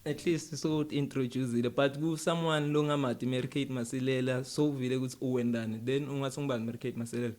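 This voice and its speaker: A South African man with a mid-pitched voice works as an interview host. He talks casually and conversationally, slipping between two languages within his sentences. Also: steady tone, fast, few pauses, darkish tone. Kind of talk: casual interview, code-switching.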